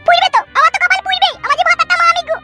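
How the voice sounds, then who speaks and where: A performer voicing an aggrieved, sharp-tongued village mother in a comic cartoon, shrill and complaining, with a rural West Bengal accent. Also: steady tone, rapid-fire, soft background music, exaggerated voice. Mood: indignant.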